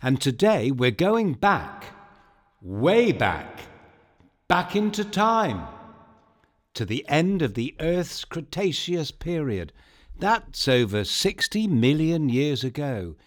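Male kids' storyteller: playful, theatrical, highly animated, unhurried, with music underneath. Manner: playful, theatrical, addressing young listeners directly. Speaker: storyteller and host of a children's bedtime podcast. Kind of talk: scripted children's story narration.

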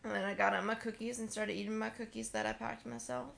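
A woman with a slightly high voice and plenty of creak chats as a video co-host. She tells anecdotes casually, with playful slang. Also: little pitch movement, fast, fairly bright tone. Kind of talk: casual chat, anecdote telling.

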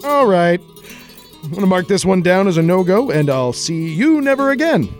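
A man's voice: high-pitched, very animated, moderate pace, faint music behind. A man playing a wary, polite but unimpressed adventurer in a comic radio play. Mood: dismissive. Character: wary, muscular traveling grail hunter, polite but unimpressed. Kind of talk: 1940s-style radio play acting.

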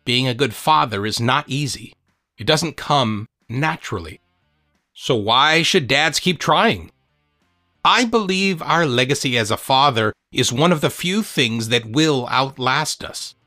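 A man's voice: mid-range voice, lively intonation, unhurried, bright, forceful tone, no room sound, soft background music. Man reading scripted audiobook, dry sound. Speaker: author and podcast host reading his own book.

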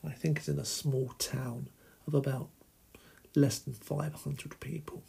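Solo podcast host, man from England, middle-aged, slow deliberate delivery, gravelly husky voice. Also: mid-range voice, steady tone, dark tone.

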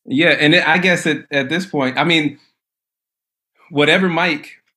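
A man's voice: on the high side, measured pace, sharp tone. A man from Michigan, a guest a cappella artist, talking in an easygoing, rambling way with frequent restarts. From Lansing, Michigan, USA. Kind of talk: casual conversation.

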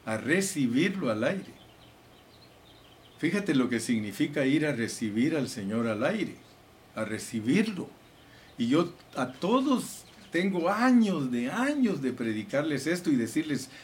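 A man's voice: medium pitch, slight background noise. A man preaching a sermon with fervor, calling his listeners brothers and reading scripture aloud. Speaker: pastor.

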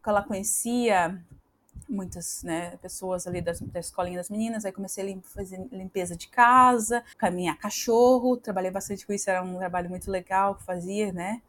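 A woman's voice: medium pitch, steady tone, normal speed, a bit bright. A Brazilian woman in her thirties, a podcast guest talking casually, with many fillers and restarts. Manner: chatty, frequent fillers and restarts. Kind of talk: casual interview conversation.

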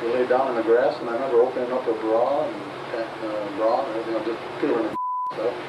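A man recounting events in a halting, repetitive way, on a recorded confession tape in a roomy interview room. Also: mid-range voice, fairly even intonation. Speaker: confessed criminal being interviewed. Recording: muffled, noisy, distant archive interview tape.

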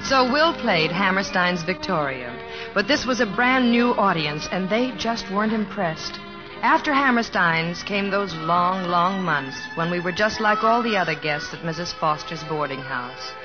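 An actress playing a gentle, devoted wife who narrates tenderly in an old-time radio drama. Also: female voice, lowish voice, expressive, unhurried. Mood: wistful. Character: gentle, devoted wife narrating her comedian husband's struggles. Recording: dull old radio recording, music behind.

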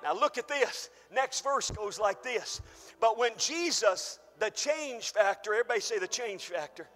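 High-voiced fervent man preaching in a hall. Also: animated, moderate pace. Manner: preaching with shouted, fervent calls. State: losing his voice. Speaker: pastor. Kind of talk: sermon. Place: church hall.

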